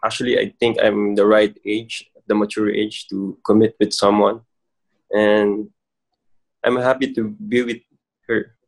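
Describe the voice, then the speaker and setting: A man's voice: fairly low pitch, level tone, unhurried, rough recording. A man in his twenties in casual chat, earnest and repeating words. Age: 20s.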